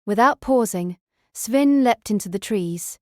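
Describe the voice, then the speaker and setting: A woman's voice: on the high side, expressive, measured pace, a little mellow, no echo, studio quality. A woman reading scripted audiobook narration of a story as its narrator.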